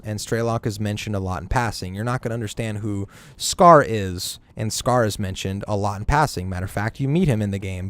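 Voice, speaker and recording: Low-voiced man ranting about a game. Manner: rambling, opinionated, swears freely. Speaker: game streamer. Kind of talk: casual gaming stream chat.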